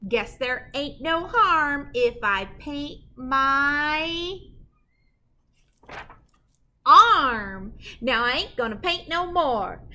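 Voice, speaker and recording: A middle-aged woman reading a picture book aloud to children as a librarian, with animated, dramatic emphasis and a sing-song delivery. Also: high-pitched, extremely slow, long pauses. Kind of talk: expressive children's read-aloud. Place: indoor library with bookshelves.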